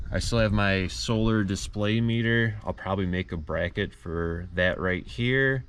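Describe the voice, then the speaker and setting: A man casually walking through a do-it-yourself project in a plainspoken, explanatory way. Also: sits low. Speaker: DIY car vlog host. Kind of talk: casual project walkthrough with voice-over.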